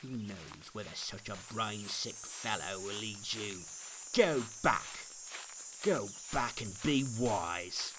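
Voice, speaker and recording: A man's voice: on the low side, expressive, slow pace. Stubborn male scoffer voiced by a male reader, dismissive, archaic storybook drama, audible noise behind. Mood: scornful. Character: Obstinate, scornful stubborn male neighbour. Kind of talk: dramatic reading of an old allegory.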